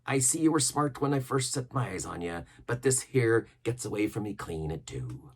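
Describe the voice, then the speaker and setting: Man performing a pirate captain, storybook villain, sly, falsely gracious, menacing, rough-edged, very animated, salty dialect. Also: mid-range voice, moderate pace. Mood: mock friendly. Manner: genial but sneering, gracious, persuasive. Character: sly, smooth-talking pirate captain, mock-friendly, menacing.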